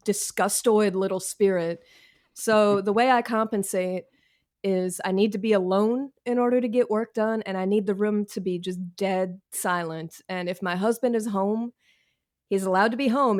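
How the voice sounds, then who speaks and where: A woman author speaks as an interview guest in a conversational, informal way. Her pitch sits in the middle for a woman. She is candid about herself, with a wry, self-deprecating turn to her phrasing. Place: cluttered room at home, on a video call. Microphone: video call.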